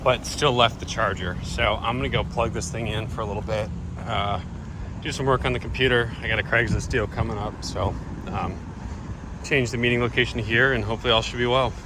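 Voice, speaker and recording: A man's voice: steady tone, fairly quick. An American man giving casual, laid-back, matter-of-fact vlog commentary from his van. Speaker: vlogger. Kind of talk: casual running vlog commentary while driving. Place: inside and around an old van. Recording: very noisy car interior, engine rumble.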